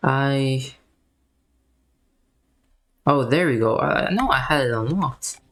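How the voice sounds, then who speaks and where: A woman's voice: very deep voice, animated, slow pace. A woman giving casual gameplay commentary as a streamer, musing aloud in an informal way. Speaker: video game streamer. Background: faint game music.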